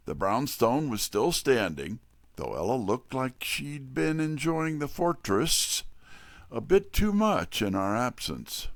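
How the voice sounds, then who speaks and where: A man's voice: fairly high pitch, a bit bright, dry recording, clean studio sound, exaggerated voice. An actor playing a detective's wry right-hand man, colloquial, in a scripted audio drama.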